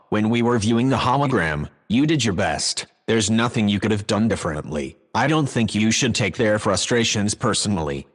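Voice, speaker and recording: A synthetic male voice playing a calm, helpful AI house assistant in a sci-fi audio drama, courteous and formal. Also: on the low side. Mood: calm, concerned. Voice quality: synthetic text-to-speech sound.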